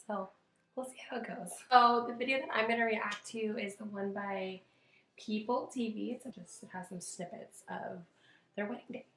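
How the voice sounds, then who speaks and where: Woman, slightly hoarse, mid pitch, chatty informal commentary, thinking aloud, roomy echo. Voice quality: slightly rough, strained voice. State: hoarse from losing voice. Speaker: wedding planner and video host. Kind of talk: casual reaction commentary. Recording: roomy, echoey home-video sound.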